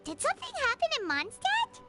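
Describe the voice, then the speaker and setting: A woman's voice: wildly swooping pitch, fairly quick, exaggerated voice. A woman voicing a tiny, chirpy floating companion who talks about herself in third person, in video game dialogue with game music behind. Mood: excited, curious. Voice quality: high, squeaky.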